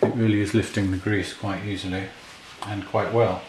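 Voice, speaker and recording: Male hobbyist repairer, deep-pitched, matter-of-fact, talking through his work in an echoey room. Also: little pitch movement, normal speed, slight background noise. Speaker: repair hobbyist demonstrating a restoration. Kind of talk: casual hands-on tutorial commentary, thinking aloud while working. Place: indoors at a repair workbench. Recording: roomy, clear handling clatter and clicks.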